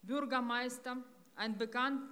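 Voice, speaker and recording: Slow, grave woman giving a speech. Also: on the high side, steady tone, sharp tone, big-room sound. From Ukraine. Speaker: rally speaker. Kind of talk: public speech. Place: outdoors in a town centre.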